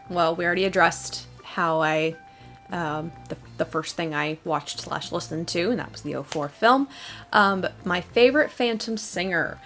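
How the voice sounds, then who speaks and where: A young adult woman hosting a casual question-and-answer video, talking in a thoughtful, hesitant, rambling way. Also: medium pitch. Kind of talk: casual fan Q&A talk.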